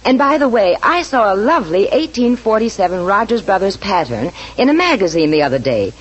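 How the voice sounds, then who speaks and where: A woman's voice: medium pitch, very animated, brisk, husky, lo-fi sound. An actress playing an eager, earnest woman customer in a 1940s radio advertisement. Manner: earnest, emphatic. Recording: old radio broadcast recording.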